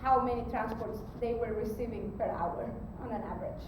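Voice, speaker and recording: Female conference presenter, high pitch, explanatory and halting, with rumbly noise under a very reverberant distant recording. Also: steady tone. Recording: very echoey, distant mic, rumbling background noise.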